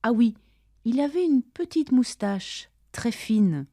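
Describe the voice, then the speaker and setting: A woman reading her part of a scripted dialogue for language learners, speaking carefully and deliberately, each line said twice. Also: on the high side, animated, dark tone, no echo. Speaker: language-lesson dialogue reader.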